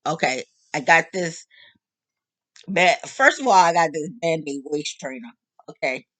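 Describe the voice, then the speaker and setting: A woman in her late fifties talking openly to her audience in a casual, animated, joking monologue. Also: low voice, a little slow, dry recording. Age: 50s. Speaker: vlog host.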